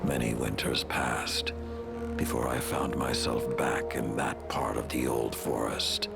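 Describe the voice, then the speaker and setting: Grim aged male warlord for a game cutscene: rock-bottom pitch, slow, solemn delivery over prominent music. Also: steady tone, heavily acted. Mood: brooding. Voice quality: deep gravelly voice. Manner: slow, solemn, weighty storytelling. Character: grim old male warlord recounting a hard past. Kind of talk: dramatic cinematic game monologue.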